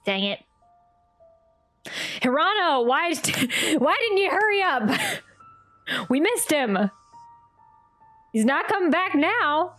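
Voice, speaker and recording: A woman's voice: high voice, very animated, takes it slowly, pauses often, a bit bright, faint music behind. A woman streaming a game with casual commentary, chatty and jokey in manner. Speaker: game streamer reacting to a playthrough.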